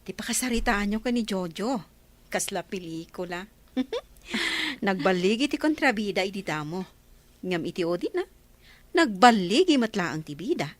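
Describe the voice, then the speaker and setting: Woman acting gossipy Ilocano neighbour, lively swings in pitch, mid-range voice, teasing, radio comedy. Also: moderate pace, natural voice. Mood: teasing, merry. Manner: animated, teasing. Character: teasing, gossipy village friend Andrea.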